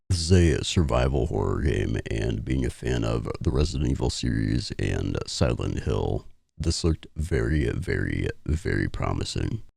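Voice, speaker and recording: A man's voice: very deep voice, vocal fry, dark tone, breathy edge, no room sound, studio quality. A man playing a horror game and commenting casually, sniffly as he gets over a cold. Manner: casual, reads game text aloud. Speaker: gaming YouTuber. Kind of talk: let's play commentary.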